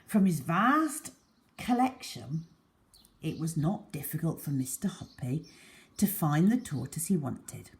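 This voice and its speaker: Woman storyteller, children's book reading, unhurried pace, widely swinging intonation, dramatic. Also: low-pitched, sing-song.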